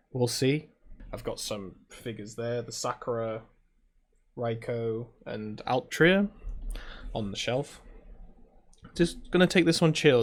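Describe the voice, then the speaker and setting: A British man hosting a game playthrough, chatting casually and reading story text aloud in a low-key, halting way. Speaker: gaming video host playing a visual novel.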